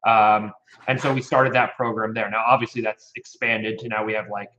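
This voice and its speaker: Low-ish guy in his 30s, rambly interview chat, kinda muffled lo-fi sound. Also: flat delivery, moderate pace, sharp tone. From Connecticut. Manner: rambling, with frequent restarts and fillers. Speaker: youth baseball program director. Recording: dull lo-fi audio.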